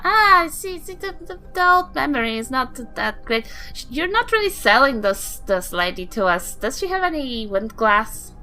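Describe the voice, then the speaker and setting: A woman's voice: high-pitched, big pitch swings, moderate pace, hardly any pauses, sharp tone, echoey room, faint music behind, full character voice. A woman with a New Zealand accent voicing a skeptical, blunt yet dithering old granny adventurer in a tabletop game. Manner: dithering, blunt, politely dismissive.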